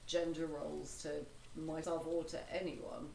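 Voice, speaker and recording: A woman's voice: deep voice, flat delivery, a little slow, darkish tone. A woman sitting in as a guest on a gaming video, chatting casually and self-deprecatingly. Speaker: guest co-commentator. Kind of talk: casual chat over gameplay. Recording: echoey room with clear noise.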